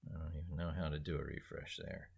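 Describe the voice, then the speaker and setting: A man with a low voice hosts a casual live stream. He talks through what he is doing on screen in a rambling way, thinking aloud as he goes. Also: little pitch movement, quick pace, gravelly, a little mellow, husky. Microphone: speaking into a microphone.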